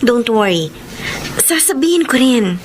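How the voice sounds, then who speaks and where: A woman's voice: fairly high pitch, sing-song, a little slow, noisy background. A woman playing a sweet, hesitant young Filipino college girlfriend in a radio drama. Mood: sweet, happy. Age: young adult.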